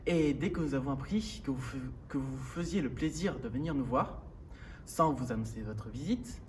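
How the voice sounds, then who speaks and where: A man's voice: medium pitch, moderate pace. A man studying drama, delivering a formal theatrical welcome. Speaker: drama student. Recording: distant mic, background noise.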